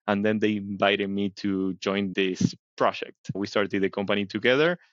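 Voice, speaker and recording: A man's voice: fairly low pitch, steady tone, normal speed, a bit bright, no echo, dull audio. A middle-aged man from Argentina, a biotech company CEO, answering interview questions thoughtfully and often checking in with "right?". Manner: thoughtful, explains with frequent "right?".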